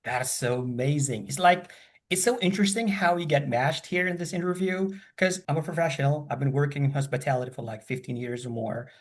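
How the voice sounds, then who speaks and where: A man's voice: on the high side, brisk. A middle-aged man on a video call, talking about himself casually and enthusiastically, rambling and repeating himself as he goes. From born in Japan, grew up in several countries across Asia and the Middle East. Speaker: student and hospitality professional. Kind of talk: casual video-call interview, telling life story.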